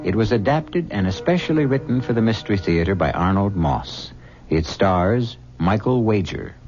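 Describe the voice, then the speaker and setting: Deep voiced older guy narrating old radio mystery, grave and theatrical, lo-fi with music behind. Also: expressive, normal speed. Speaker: radio drama host and narrator.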